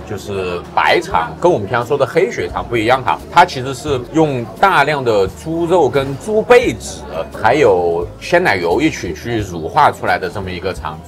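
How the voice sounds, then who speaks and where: A man hosting a food vlog, chatting casually and explaining as he goes. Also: mid-range voice. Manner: conversational, explanatory. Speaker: food vlogger, host. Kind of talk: casual on-location food review.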